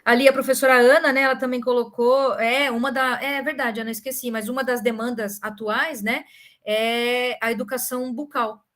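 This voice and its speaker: A woman with a Brazilian accent giving an informal academic talk, telling stories in an animated way and often tagging phrases with short questions. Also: on the high side, normal speed. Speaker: academic speaker answering questions.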